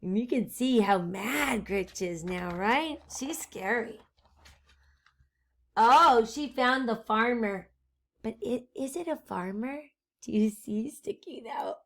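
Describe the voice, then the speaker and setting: Slow, high-voiced woman reading to children. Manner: expressive, asks listeners questions. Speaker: storytime reader, teacher. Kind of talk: children's read-aloud with asides to listeners.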